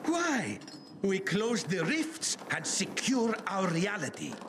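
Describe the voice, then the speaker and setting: A man's voice: high voice, very animated, takes it slowly, exaggerated voice. A man voicing a pompous, impatient middle-aged scientist in a video game, speaking in a grandiose, theatrical way. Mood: self-important. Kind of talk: video game cutscene acting. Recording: clearly noisy game ambience behind.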